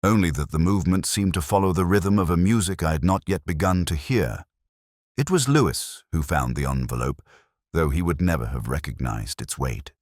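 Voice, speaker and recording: A melancholy, introspective detective, played by a man, recounts a case in measured, reflective prose. The voice is extremely deep, yet it rises and falls expressively. The sound is dry and close, suited to scripted mystery narration. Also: lively intonation, a little mellow, no room sound. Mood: brooding, reflective. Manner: measured, reflective, literary phrasing.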